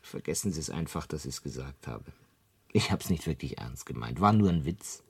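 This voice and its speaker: A man playing a polite, bewildered ordinary Earthman in a radio drama, speaking in a rueful, apologetic way with a husky voice. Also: a bass voice, expressive, normal speed, noisy background, heavily acted. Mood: sheepish. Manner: rueful, apologetic, self-pitying.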